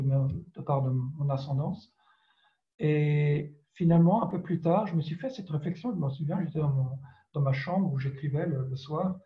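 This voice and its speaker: A man from France, a writer answering interview questions reflectively and hesitantly, searching for his words. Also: fairly high pitch. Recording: dull, roomy, echoing sound.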